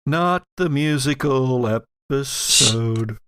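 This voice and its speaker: A man improvising narration as game master of a horror tabletop game, setting scenes in a deliberate, halting way with vivid description. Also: mid-range voice, a bit bright, smooth.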